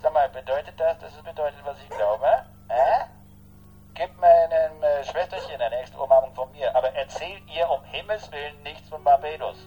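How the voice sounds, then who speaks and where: An actor playing a breezy, jovial bachelor leaving a rambling, hesitant phone message in a radio drama. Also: male voice, on the high side, moderate pace, background noise, close to an everyday voice. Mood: nosy, jovial.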